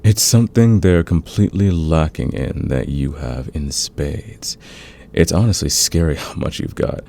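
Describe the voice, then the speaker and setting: A man playing a smug, flirtatious fantasy villain in a romantic ASMR roleplay, teasing and intimate with a husky, gravelly voice. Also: very deep voice, dark tone, full character voice. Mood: confiding, smitten. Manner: teasing, intimate, drawn-out confiding delivery. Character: flirtatious, smug male fantasy villain, secretly smitten rival.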